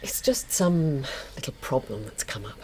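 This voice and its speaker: An actress playing a hurt, suspicious middle-aged wife in a radio drama, with a British accent and an insistent, sarcastic delivery. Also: female voice, a bass voice, sing-song, normal speed, darkish tone, slight background noise. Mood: strained politeness.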